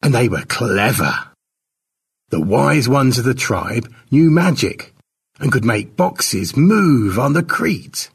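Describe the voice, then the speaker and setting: Guy doing an old-time tribe storyteller, slow n dramatic, husky, pitched up, big swoops, audiobook tale. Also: animated, recorded from a distance, heavily acted. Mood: grand, wondering.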